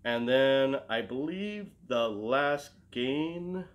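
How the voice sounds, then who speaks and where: A man's voice: medium pitch, expressive, extremely slow, highs cut off. A man in his thirties chatting casually as a show co-host in a living room, often searching for words. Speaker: co-host of a comic collecting show. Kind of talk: casual chat.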